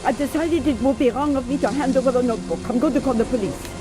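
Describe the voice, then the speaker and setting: Actress as a stern reserved lady academic, distrustful, game cutscene, music really loud behind her. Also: sits high, quick pace, natural voice. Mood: stern, firm. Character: suspicious, reserved, stern female Louvre academic. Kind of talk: video game cutscene acting.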